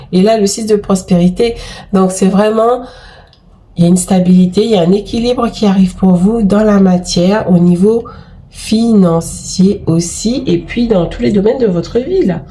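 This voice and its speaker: Warm-voiced woman doing an effusive card reading. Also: mid-range voice, a little hiss or hum. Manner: chatty and effusive, frequent exclamations and fillers. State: has a cold. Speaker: tarot and oracle card reader. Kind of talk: casual spoken card reading to viewers.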